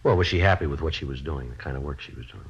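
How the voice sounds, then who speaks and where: A man playing a businesslike, polite police detective in a radio crime drama, speaking and narrating in a clipped, deadpan, matter-of-fact way. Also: a bass voice, very fast, husky, heavily acted. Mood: probing, polite. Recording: muffled old-time radio recording.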